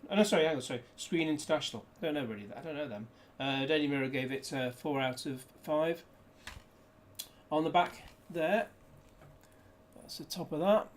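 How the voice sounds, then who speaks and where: Man, rambling, jokey asides, slightly high voice, faint room hiss. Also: moderate pace. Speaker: disc reviewer. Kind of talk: casual unboxing chat.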